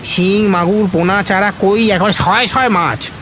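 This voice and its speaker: An excitable old village servant in an audio story. The voice is pitched high, rustic and deferential, full of exclamation, with noisy rain behind it. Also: male voice, measured pace. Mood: amazed, excited. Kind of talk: Bengali audio story acting. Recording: rain and ambient noise behind.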